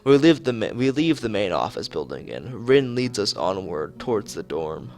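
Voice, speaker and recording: A man's voice: fairly low pitch, big pitch swings, normal speed, a little mellow, soft background music. A man streaming a visual novel, reading the story aloud expressively with occasional asides.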